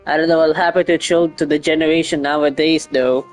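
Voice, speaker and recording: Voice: mid-range voice, level tone, fairly quick, soft background music. A young adult streamer giving informal, muttered reactions and casual commentary over a video game.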